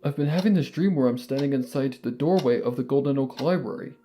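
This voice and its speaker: A man's voice: mid-range voice, quick pace, warm tone, close to an everyday voice. A man voicing an anxious young male baby dragon, speaking in a hesitant, shaken way that trails off. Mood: uneasy. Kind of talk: audiobook reading of fan fiction.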